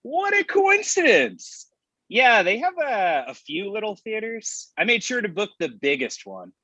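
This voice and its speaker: A man's voice: sits high, huge pitch swings, unhurried, sharp tone, dry recording, full character voice. An actor playing a glib, smug man in his thirties with a casual manner, reading a comedy script on a muffled microphone. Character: glib, breezy thirty-three-year-old man, smug rival friend. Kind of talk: naturalistic comedy table read.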